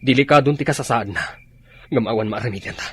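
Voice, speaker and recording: A man playing a grave, commanding army officer in a radio drama, speaking with an Ilocano accent and a husky voice. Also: medium pitch, quick pace, a little hiss or hum, close to an everyday voice. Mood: grave, concerned.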